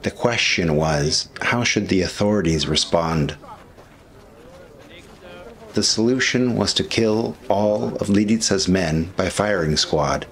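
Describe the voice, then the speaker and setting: A man reading scripted documentary narration with commentary in a measured, formal way. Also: deep voice. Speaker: history video narrator.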